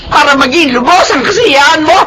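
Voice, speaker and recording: An actress playing a betrayed, anguished middle-aged wife in a Filipino radio drama, shrill and melodramatic. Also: female voice, animated, a little fast, noisy background. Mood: outraged, anguished.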